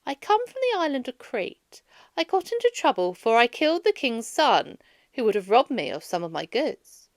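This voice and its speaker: A woman's voice: a little high, very animated, moderate pace, no echo, studio quality. A woman voicing a wary, weary wandering Greek hero from a children's storybook, emphatic and pleading. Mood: guarded.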